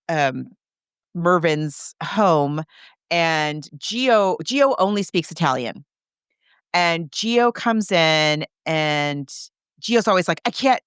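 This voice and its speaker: A woman's voice: low voice, a bit bright, clean tone, dry recording, studio quality. A woman hosting a podcast, discussing film casually in an enthusiastic, chatty, emphatic way. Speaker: podcast host.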